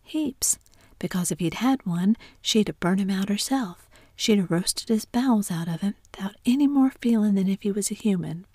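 A woman's voice: mid-range voice, lively intonation, moderate pace, mellow, breathy edge, no echo, clean studio sound. A woman whispering as a cheeky young boy. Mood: sly.

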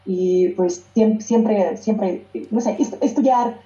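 A woman's voice: mid-range voice, slow, mellow. A woman with a Russian accent, a native Russian speaker, who is a singer and music teacher and speaks hesitantly, often restarting and searching for words. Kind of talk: interview conversation. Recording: roomy echo, dull lo-fi sound.

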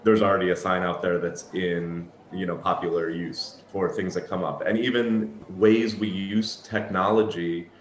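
A man's voice: deep voice, fairly even intonation, moderate pace, few pauses, a little hiss or hum. A man who works as a sign language interpreter, answering interview questions in a thoughtful, reflective way with frequent restarts. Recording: echoey room.

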